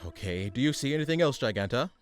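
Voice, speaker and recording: A man plays a condescending supervillain mastermind at the end of his patience. He has a medium-pitched voice and a pompous delivery, over faint music in a comic radio play. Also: expressive, fast, lightly acted. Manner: pompous, exasperated.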